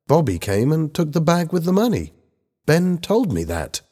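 A man's voice: on the high side, wildly swooping pitch, moderate pace, warm tone, voice pushed up. A man voicing an angry, defensive teenage schoolgirl in a story, indignant and exclaiming.

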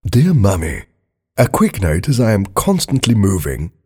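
A man voicing an affectionate grown prince reading out a gentle, formal letter to his mother in a story. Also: on the low side, wildly swooping pitch, normal speed, husky, full character voice. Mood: fond, wistful.

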